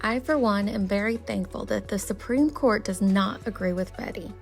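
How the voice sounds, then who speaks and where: A woman's voice: medium pitch, moderate pace, vocal fry, loud music behind the voice. A woman hosting a commentary channel, reading a scripted voice-over in an earnest, critical way. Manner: reads from notes, critical and earnest.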